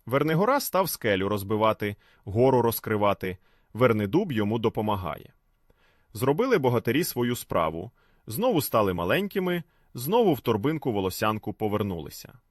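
A man narrating a fairy tale as a storyteller, in an expressive, scripted reading. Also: lowish voice, a little fast, dry recording, clean studio sound.

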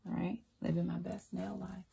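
Chatty woman vlogger with a gravelly edge. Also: fairly low pitch, level tone, normal speed. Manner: chatty, upbeat, says y'all. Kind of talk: casual chat to camera. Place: walk-in closet at home.

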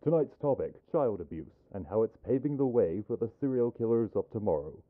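A man voicing a menacing adult male serial killer with a deadpan, sinister delivery. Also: fairly low pitch, brisk, narrow, tinny audio. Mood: smooth host.

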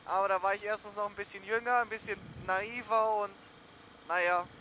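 Young man, high-pitched voice, casual slangy motovlog chat, faint engine and riding noise. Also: fairly even intonation, a little fast, bright, forceful tone. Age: young adult. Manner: slangy, loosely rambling. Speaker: motorcycle vlogger. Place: outdoors on a moving motorcycle. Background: motorcycle engine and road noise.

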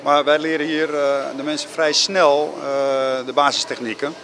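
A man managing a ski school, giving a promo interview in a halting way with many fillers. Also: a little high, level tone, fairly bright tone.

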